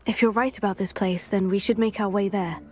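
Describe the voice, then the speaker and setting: A woman playing a composed, helpful teenage schoolgirl adventurer in a video game, polite and matter-of-fact with a husky voice. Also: mid-range voice, steady tone, a little fast, darkish tone, natural voice. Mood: questioning, determined.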